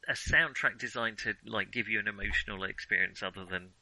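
A man's voice: lowish voice, a little fast, gravelly, pushed and bright, dry recording, rough recording. A man co-hosting a casual film discussion, opinionated but hesitant, often reworking his thought as he goes. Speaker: film podcast co-host.

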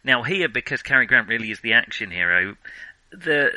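A man's voice: mid-range voice, expressive, moderate pace, bright, forceful tone, no room sound, low-quality recording. A man co-hosting a casual film discussion, talking in a hesitant, discursive way. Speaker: podcast co-host.

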